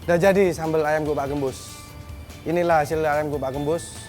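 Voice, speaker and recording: A man's voice: a little high, fairly even intonation, brisk, darkish tone, faint music behind. A man from Central Java, a restaurant owner explaining things informally to camera. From Magelang, Central Java, Indonesia. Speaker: restaurant owner being featured.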